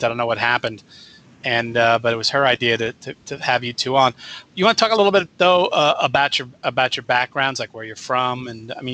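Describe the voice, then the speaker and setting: A man's voice: mid-range voice, pushed and bright. A man hosting a casual radio interview, chatty and rambling, often correcting himself mid-sentence. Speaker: radio show host.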